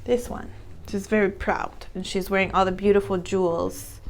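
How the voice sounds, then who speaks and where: A woman's voice: medium pitch, flat delivery, moderate pace, slight background noise, poor audio. A woman teacher reading a story aloud to young children, explaining words in simple phrases and asking questions.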